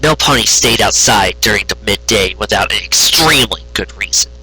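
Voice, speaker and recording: A man's voice: on the low side, expressive, normal speed, pushed and bright, husky, faint music behind, poor audio. A man narrating a scripted fan fiction story, occasionally stumbling over his words. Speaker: amateur story reader.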